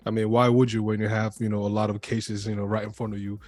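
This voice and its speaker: A man's voice: on the low side, little pitch movement, fast, darkish tone, faint music behind. A man hosting a podcast interview in a casual, friendly way, often calling his guest "man". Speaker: podcast host.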